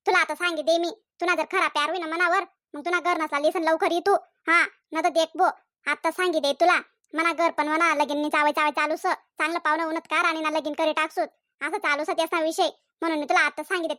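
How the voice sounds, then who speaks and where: A woman's voice: very high-pitched, little pitch movement, fast talker, pushed and bright, dry recording, studio quality. A woman voicing a scolding, jealous young village woman in a comedy cartoon, nagging and accusing in a Khandeshi Ahirani accent. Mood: insistent. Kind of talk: comedy cartoon dialogue in dialect.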